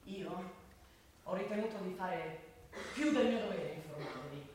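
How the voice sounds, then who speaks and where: An actress playing an anxious, insistent female school principal in a stage drama, speaking haltingly and with strain. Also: lowish voice, animated, a little mellow, natural voice. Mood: grave, halting. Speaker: stage actor. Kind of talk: Italian theatre drama, naturalistic dialogue. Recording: roomy, distant stage recording with faint noise.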